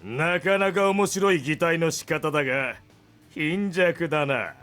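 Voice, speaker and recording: A man's voice: on the high side, normal speed, a bit bright, soft background music, natural voice. A male actor playing a menacing, mocking enemy fighter in a Japanese visual novel, with a taunting, contemptuous delivery.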